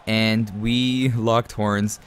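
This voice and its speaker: Chatty low-voiced man with a cold. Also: flat delivery, extremely slow, clean tone. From Canada. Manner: chatty, calls viewers man and guys. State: has a cold. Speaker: gaming commentator. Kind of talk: casual gameplay commentary.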